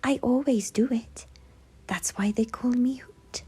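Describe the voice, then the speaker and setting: A woman voicing a friendly, gentle little female owl in a light, breathy storybook voice over music. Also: fairly high pitch, steady tone, unhurried, voice pushed up.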